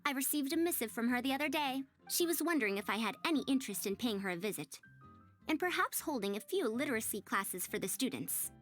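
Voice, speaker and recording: A woman's voice: fairly high pitch, lively intonation, fast talker, fairly bright tone, soft background music. A woman playing a prim, proud but kindly young-sounding researcher in a video game, speaking formally and articulately in wordy, old-fashioned phrases. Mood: thoughtful, kindly. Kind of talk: scripted video game character dialogue.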